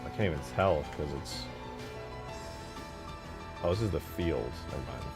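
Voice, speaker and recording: Low-voiced man, casual and animated. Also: normal speed, over loud music. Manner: informal, slangy, thinking aloud. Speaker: game modder showing work in progress. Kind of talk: casual rambling screen-share commentary.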